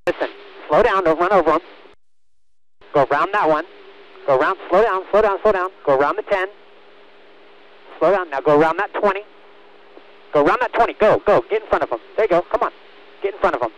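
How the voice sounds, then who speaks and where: A man's voice: high-pitched, a little fast, pauses often, a bit bright, muffled recording. A man working as a race spotter, speaking in short, repeated commands over a team radio. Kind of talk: urgent radio spotting calls to a driver.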